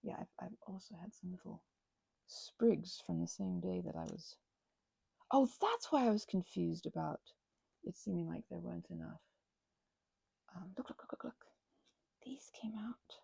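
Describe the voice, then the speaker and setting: A woman's voice: fairly low pitch, moderate pace, creaky, long pauses, dark tone, breathy edge, no echo. A woman crafting and rambling casually in ASMR style, whimsically talking to herself and the things in her hands, sometimes dropping to a near-whisper. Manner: whimsical, talks to herself and objects. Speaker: crafting vlogger.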